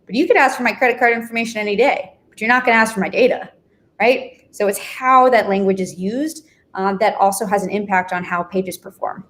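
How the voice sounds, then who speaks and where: A woman's voice: mid-range voice, a little fast, fairly bright tone. Chatty woman explaining. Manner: chatty, explanatory, frequent "you know" and "right?". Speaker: affiliate manager guest. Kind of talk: live stream discussion.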